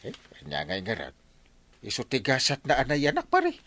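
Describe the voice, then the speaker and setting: Animated, exasperated Ilocano village captain. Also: male voice, mid-range voice, fairly quick, a little hiss or hum, exaggerated voice. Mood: animated, lamenting. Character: exasperated village captain, a scolding man in authority. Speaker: voice actor in a radio drama. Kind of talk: Ilocano radio comedy-drama acting.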